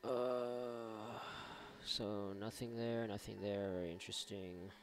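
A man with a low voice and plenty of fry hosts a casual let's play. He rambles sarcastically and curses freely over faint game ambience. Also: fairly even intonation, slow, a little hiss or hum. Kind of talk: casual gameplay commentary. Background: video game ambience.

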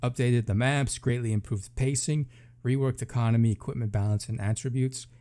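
A man's voice: medium pitch, steady tone, brisk, dark tone. A man reading patch notes aloud as a gaming video host, with offhand casual commentary and thinking aloud between items.

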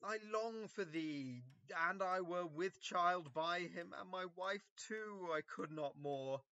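An actor playing a pompous, self-absorbed gentleman in an early modern comedy, theatrical and declamatory. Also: high-pitched, slow pace, no room sound, highs cut off, exaggerated voice. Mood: yearning.

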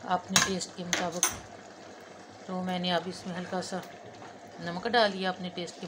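Woman, home cooking-channel host, low and even delivery, phone recording with steady kitchen noise. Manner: chatty, talks viewers through each step. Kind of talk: casual cooking vlog narration. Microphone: phone camera held over the pot.

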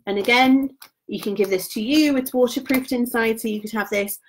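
A woman, chatting casually on a live stream about gift sets, her voice going hoarse. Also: on the high side, moderate pace, a little hiss or hum, poor audio. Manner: chatty and informal, trails off quietly. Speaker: beauty product consultant.

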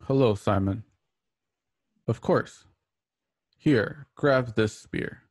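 A man playing a quiet, laconic warrior in a radio drama, speaking slowly and tersely with a creaky voice. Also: medium pitch, no room sound, clean studio sound. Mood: calm, instructive. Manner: slow, terse.

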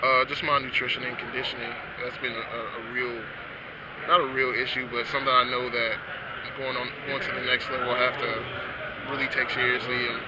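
A young man in his twenties, a football prospect, answering press questions in a soft-spoken, halting way with many restarts. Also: medium pitch. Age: 20s. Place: busy indoor event hall. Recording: loud background noise at an event.

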